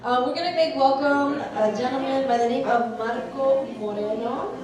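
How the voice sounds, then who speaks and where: A woman's voice: high voice, moderate pace, crowd noise. A woman hosting a live event, introducing speakers in a chatty manner with fillers. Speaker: event host. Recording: echoey hall, mic far off.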